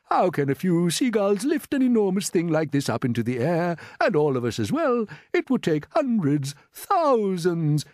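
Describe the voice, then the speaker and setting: A man voicing an excitable, sharp old male grasshopper in a children's story, speaking emphatically. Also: high-pitched, moderate pace, hardly any pauses, no room sound, studio quality, voice pushed up. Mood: doubting. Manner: emphatic, exclaiming.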